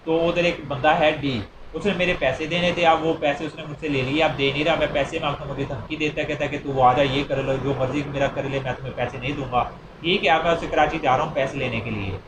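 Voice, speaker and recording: A man's voice: on the high side, steady tone, fast. A man with a Pakistani accent giving an informal explanatory law lecture, repeating and rephrasing his points with examples. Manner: repeats and rephrases points, mixing Urdu with English legal terms. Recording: noisy, echoey room.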